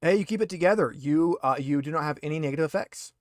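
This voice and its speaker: A man's voice: on the high side, quick pace, no room sound. Informal man running a game, close dry sound. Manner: informal, explanatory. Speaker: game master. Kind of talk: running an online tabletop roleplaying game.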